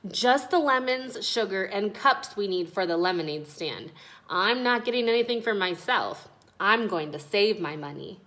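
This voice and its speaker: Woman reading as a sensible, lively young girl. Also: medium pitch, normal speed, natural voice. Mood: matter-of-fact. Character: sensible, thrifty young girl, friend of a boy.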